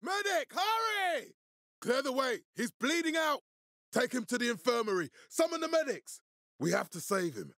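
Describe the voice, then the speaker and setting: An actor playing a stern, resolute, fatherly militia leader in a video game, speaking with measured, deliberate authority in a husky voice. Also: very high-pitched, sing-song, a bit bright, no room sound, clean studio sound, exaggerated voice. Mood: urgent, alarmed.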